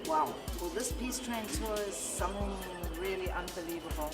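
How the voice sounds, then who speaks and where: South African woman, guest interview, earnest and emphatic, low-pitched, a bit off-mic in an echoey space. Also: moderate pace, recorded from a distance. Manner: earnest, heartfelt, builds to emphatic. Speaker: guest singer-songwriter. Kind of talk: interview answers. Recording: echoey, off-mic, loud music behind.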